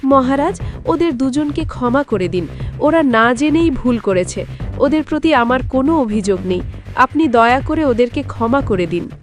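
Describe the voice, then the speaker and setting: A tender folktale queen mother played by a woman, forgiving and emotional. Her voice is high with animated swoops, over faint music. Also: normal speed. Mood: pleading.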